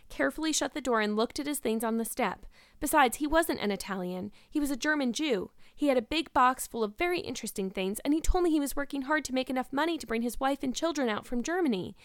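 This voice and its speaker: A woman's voice: high voice, animated, fast talker, dry recording, studio quality, pitched above the performer's own voice. A woman voicing a despairing, wordy young girl in a storybook, pleading and earnest in a melodramatic way. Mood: earnest, confiding.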